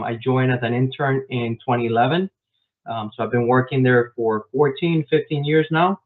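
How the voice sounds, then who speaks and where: A man raised in Miami answering interview questions about his work as a software developer, explaining step by step with frequent "um" fillers, over a video call. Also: mid-range voice. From grew up in Miami, Florida. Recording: tinny phone-like online call.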